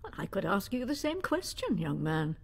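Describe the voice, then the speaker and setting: A woman's voice: medium pitch, sing-song, moderate pace, vocal fry. An actress playing a feisty, grumbling old woman in a radio drama, indignant and muttering. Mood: curt.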